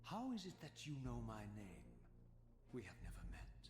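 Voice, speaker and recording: An actor playing a proud, brooding, vengeful undead wraith in a video game, with disdainful, sardonic and formal delivery. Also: male voice, on the low side, huge pitch swings, moderate pace. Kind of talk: theatrical video game voice acting. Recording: echoey hall reverb, music behind.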